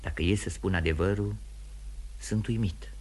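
An actor playing a devoted, courteous gentleman in a radio play, soft and husky, restrained and earnest in his manner. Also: low voice. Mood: tender, devoted. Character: courteous, devoted gentleman friend, quietly in love. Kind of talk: Romanian radio theatre acting.

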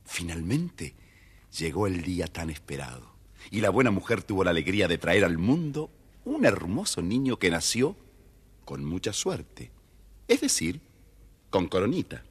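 Low, husky, animated male storyteller. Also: measured pace. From Argentina. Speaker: storyteller narrator. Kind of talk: theatrical children's fairy-tale narration.

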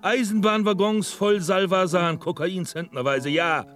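An actor playing a megalomaniac criminal doctor in a radio drama, commanding and contemptuous in manner. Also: male voice, sits high, steady tone, a little slow, fairly bright tone. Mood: scornful, angry. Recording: music behind the voice.

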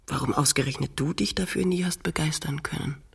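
A creaky-voiced woman in a radio play. She is practical and impatient, pressing her partner in short, insistent phrases with a husky edge. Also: low voice, fairly quick, heavily acted. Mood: reproachful.

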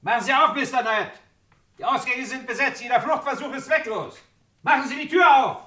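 High-pitched shouting policeman. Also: a little fast, echoey room. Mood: urgent, commanding. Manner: shouting, urgent. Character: forceful policeman shouting orders at a door. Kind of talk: German radio crime drama acting.

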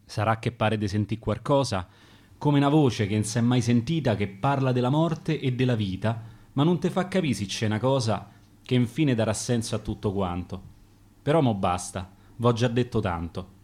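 A man with a Roman accent narrating dialect sonnets as a gravedigger for a radio play, in a measured storytelling manner. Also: mid-range voice, darkish tone. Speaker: narrator and author.

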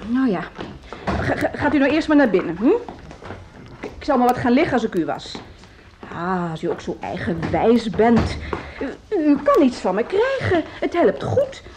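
A woman's voice: fairly high pitch, very animated, moderate pace, noisy background. A woman playing a fussy, solicitous landlady of students in a radio drama, nagging and repeating her words, on an old recording. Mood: fussing, coaxing.

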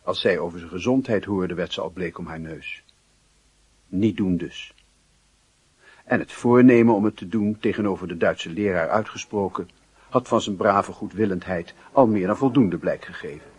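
A man's voice: deep voice, moderate pace, darkish tone, husky, no echo. A man reading scripted literary narration for a radio drama.

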